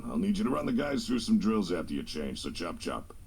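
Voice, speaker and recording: An actor playing a stern, middle-aged football coach in an audio drama, blunt and commanding. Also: male voice, low-pitched, very animated, a little fast, a little mellow, slight background noise. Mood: gruff.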